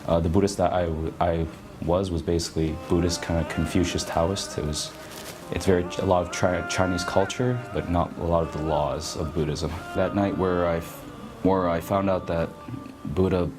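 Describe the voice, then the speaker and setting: Low-voiced man speaking haltingly over music. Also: fairly even intonation. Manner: halting, searching for words. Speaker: interviewee telling a conversion story. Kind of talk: casual personal storytelling. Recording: music playing behind the voice.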